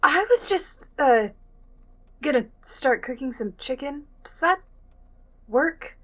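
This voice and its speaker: A woman acting in an audio drama as a sweet, slightly awkward young girlfriend, gentle and hesitant. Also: high-pitched, expressive, slow. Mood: tentative, kindly.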